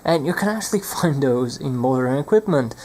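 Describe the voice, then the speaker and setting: A man's voice: mid-range voice, lively intonation, a little fast, a little mellow. A young man presenting an unscripted hands-on electronics demonstration, speaking hesitantly and searching for his words.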